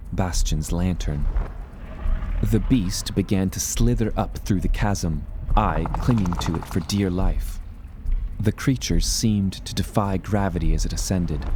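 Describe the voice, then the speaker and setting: An actor playing a gloomy, formal adventurer who recounts his perils in grave, measured, ornate dramatic narration. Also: low-pitched, noisy background, close to an everyday voice. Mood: tense, grave. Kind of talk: dramatic first-person audio drama narration.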